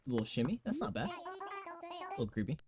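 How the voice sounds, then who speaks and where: A young man in his twenties with a slightly raspy voice rambles through live game commentary. He giggles a lot and fills gaps with "um" and "like". His pitch leaps wildly from low mumbles to high squeals. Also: huge pitch swings, normal speed, music in the background. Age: 20s. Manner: giggly, rambling chatter full of fillers. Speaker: streamer.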